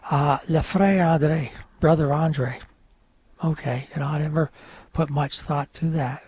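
An older man whose first language is French, from upstate New York, telling his life story as a radio guest in a halting, rambling way. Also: a little high, fairly even intonation, darkish tone, slight background noise. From North Country of New York. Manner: rambling, halting, many uh fillers.

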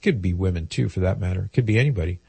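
Husky, nasal man hosting call-in radio. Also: low-pitched, rapid-fire, a little mellow, dry recording. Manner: conversational, explains at length with fillers. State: head cold, a little nasal.

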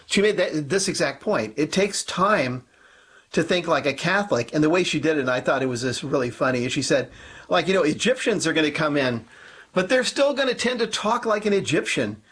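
A man with a fairly high, raspy voice talks as a podcast panelist. His style is conversational religious teaching, and he drives points home emphatically by restating them. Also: expressive, fairly quick, a bit bright.

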